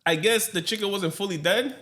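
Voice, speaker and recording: A man's voice: high-pitched, a little fast. A young man co-hosting a podcast, telling stories in casual chat with frequent laughter and Spanish mixed into his English. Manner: laughs often, slips into Spanish, animated storytelling. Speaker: podcast co-host.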